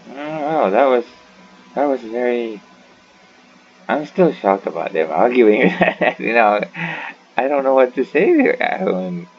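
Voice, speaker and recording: A man giving casual, halting and rambling commentary as he plays through a game. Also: medium pitch, sing-song. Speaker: gaming video host. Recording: muffled mic with faint noise.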